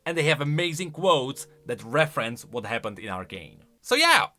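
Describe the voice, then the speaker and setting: A man doing off-the-cuff game commentary as a YouTuber. His voice is fairly high and bright, swinging a lot in pitch as he exclaims and reacts. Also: moderate pace. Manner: animated, exclamatory.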